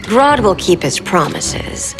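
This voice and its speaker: A sultry, cool eco-villainess. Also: female voice, medium pitch, sing-song, slow pace. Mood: cold. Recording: game cutscene voice over music.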